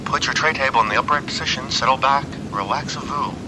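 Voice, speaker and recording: A man's voice: fast talker, close to an everyday voice. A man playing a cheerful, absurd airline announcer with mock-formal patter that switches between languages. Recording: noisy tape with low rumble.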